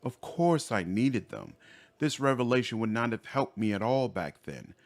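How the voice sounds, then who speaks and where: A man voicing a reformed female demon from a fantasy game, earnest, gentle and measured. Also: medium pitch, sing-song. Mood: reflective.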